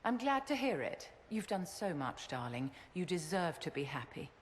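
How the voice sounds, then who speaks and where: Haughty, pampering game noblewoman: low, swooping, theatrical delivery, languid and playful, in an echoing hall. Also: very animated, moderate pace, a little hiss or hum. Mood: soothing, airy. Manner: theatrical, languid, condescending endearments. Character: haughty, pampering aristocratic woman mage, elegant and playful. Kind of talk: video game cutscene acting.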